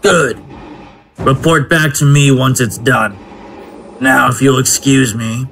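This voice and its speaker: A man's voice: medium pitch, fairly even intonation, takes it slowly, a bit bright, slight background noise. Man acting a cold, ruthless military commander. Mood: grim, commanding. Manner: clipped, commanding, menacing. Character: cold, ruthless male commander passing sentence. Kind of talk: audio drama acting.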